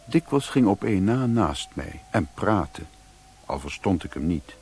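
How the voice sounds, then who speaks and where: An actor playing a sombre adventurer who narrates his journey in a radio play, with a husky voice. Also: sits low, very animated, a little slow, darkish tone, full character voice. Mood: reflective.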